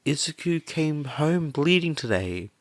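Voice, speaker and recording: A man's voice: a little high, sing-song, measured pace, no room sound, clean studio sound, voice pushed up. A man voicing an anxious, tearful, apologetic mother in an audio story, stammering and shaky.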